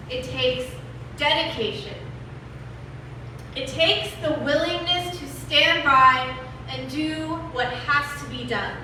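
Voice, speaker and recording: Woman acting a high, bright-voiced civil rights orator. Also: exaggerated voice. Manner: deliberate, emphatic, oratorical pauses. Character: veteran female civil rights organizer addressing a rally, determined. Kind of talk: dramatic reading of a rally speech. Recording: distant, very echoey room, faint noise.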